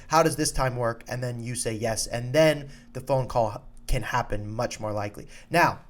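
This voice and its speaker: Emphatic man giving direct, informal advice. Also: on the high side. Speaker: college soccer recruiting coach and podcast host. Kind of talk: informal advice talk to students and parents.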